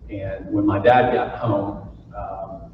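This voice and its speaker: A man's voice: fairly low pitch, level tone, slow pace. Guy from florida telling jokey stories on stage, big echoey hall, sounds far off and kinda muffled. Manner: folksy, jokey, playful with the audience. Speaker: keynote speaker. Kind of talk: humorous keynote storytelling to a live audience. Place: large conference hall. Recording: far-off roomy hall, muffled with faint noise.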